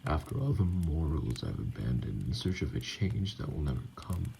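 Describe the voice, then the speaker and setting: Man playing a gravelly, brooding vampire outcast. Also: rumbling low, very animated, warm tone, a little hiss or hum, full character voice. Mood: lonely, resigned. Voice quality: gravelly, breathy, often dropping to a whisper. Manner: slow, halting, brooding delivery. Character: wounded, bitter male vampire outcast, self-loathing and lonely. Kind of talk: ASMR roleplay monologue.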